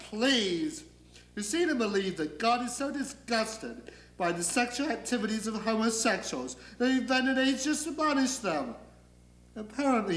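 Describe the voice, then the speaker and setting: A man's voice: sits high, fairly bright tone. A man acting a theatrical comic monologue as a neurotic, negative character, wry and confiding. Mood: sardonic. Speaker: actor performing a monologue. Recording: echoey room.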